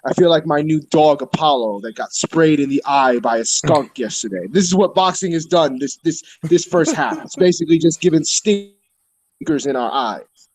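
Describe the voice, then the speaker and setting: A man co-hosting a casual podcast, tipsy, ranting in an animated way and swearing freely. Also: fairly high pitch, moderate pace, no room sound, low-quality recording. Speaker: podcast co-host. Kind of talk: casual chat.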